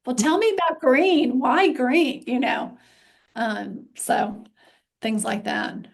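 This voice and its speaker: A woman's voice: sits high, expressive, slow pace, vocal fry. A middle-aged woman from Texas hosting a casual podcast conversation, rambling and restarting her thoughts as she talks. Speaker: podcast host.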